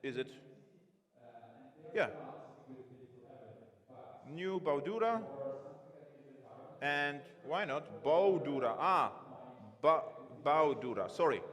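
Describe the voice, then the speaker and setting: A man giving a live-coding conference talk from a large stage, halting and correcting himself as he types. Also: fairly high pitch, expressive, a bit bright. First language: not Romanian. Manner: halting, self-correcting, thinks aloud while typing. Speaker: conference speaker. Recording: long reverb of a big hall.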